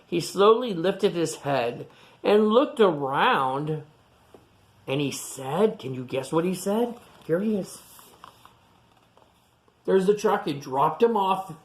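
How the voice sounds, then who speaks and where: A woman reading a picture book aloud to children, chatting to them in animated asides and questions. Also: fairly low pitch, slow, pauses often. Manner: animated asides to young listeners, asking questions. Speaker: storytime reader.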